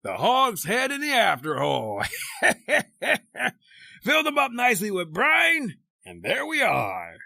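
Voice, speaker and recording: A greedy, jovially cruel sea captain in a radio horror play. His high, swooping voice is gleeful and condescending, with a gravelly edge. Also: huge pitch swings, slow, sharp tone, dry recording, full character voice. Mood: callous glee. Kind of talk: old-time radio horror acting.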